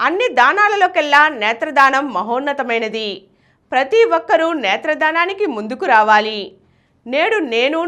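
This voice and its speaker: Female news reader, high voice, animated intonation, scripted bulletin delivery.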